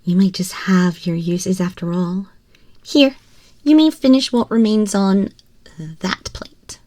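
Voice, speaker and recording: A woman's voice: mid-range voice, warm tone, dry recording, close to an everyday voice. A woman voicing a haughty, teasing, domineering young empress in an ASMR roleplay, condescending and often dropping toward a whisper. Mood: smug, condescending. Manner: condescending, slow, breathy purring with whispers. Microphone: close binaural microphone.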